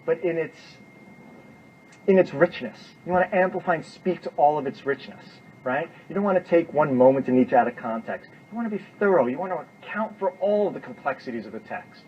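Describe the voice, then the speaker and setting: A man's voice: a little high, expressive, brisk. A man giving an informal, emphatic classroom lecture, repeating himself and often checking in with "right?". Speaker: university lecturer. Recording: dull lo-fi classroom recording with noise.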